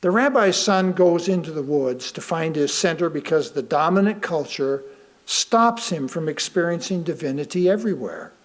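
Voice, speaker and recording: An American man reads a sermon aloud to a congregation, speaking deliberately with pauses for emphasis and rhetorical questions. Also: a little high, expressive. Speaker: lay reader delivering a sermon.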